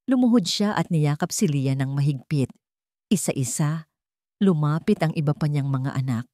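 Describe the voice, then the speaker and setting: Woman, Filipino accent, low pitch, mellow tone, measured emotive story narration. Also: no room sound, studio quality.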